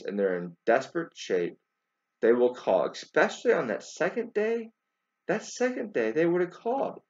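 A man in his forties talks informally to camera in a lively voice that rises and falls a lot. He speaks haltingly and doubles back on his words with emphatic emphasis. The sound is dull and lo-fi, as from a webcam. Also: medium pitch. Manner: halting, repeats words, emphatic rhetorical questions. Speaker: video commentator. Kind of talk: informal spoken commentary to camera.

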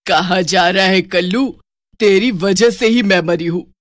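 A woman voicing a vengeful witch ghost in a horror cartoon, with a raspy, menacing delivery. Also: medium pitch, a little slow, dry recording, studio quality, close to an everyday voice. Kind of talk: horror cartoon villain acting.

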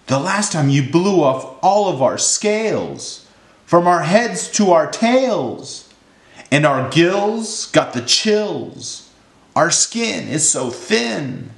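This is a timid, pleading storybook fish, played by a man. The voice sits fairly high and swoops about at an unhurried pace, with audible room echo. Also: sing-song, creaky, exaggerated voice. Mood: pleading, worried. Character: timid fish, pleading, thin-skinned, shivery. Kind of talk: rhyming children's storybook character. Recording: roomy, echoing sound.

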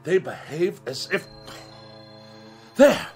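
An actor playing a pompous, irascible writer who despises machines, speaking in wordy, sneering sarcasm in a radio drama. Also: male voice, a little high, sing-song, slow pace, a little mellow, soft background music. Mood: agitated.

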